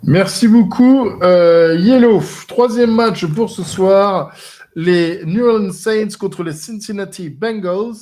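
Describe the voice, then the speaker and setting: A man with a high voice hosts a football podcast. He draws his words out and stresses them as he announces each game, then passes the floor to his panel. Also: takes it slowly, a little mellow. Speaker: podcast host. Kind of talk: casual podcast hosting.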